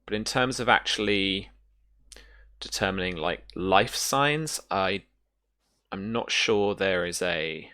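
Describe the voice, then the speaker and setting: A man's voice: low voice, lively intonation, slow pace, pushed and bright. A man acting as game master, explaining and narrating a tabletop roleplaying game, often hesitating as he thinks aloud.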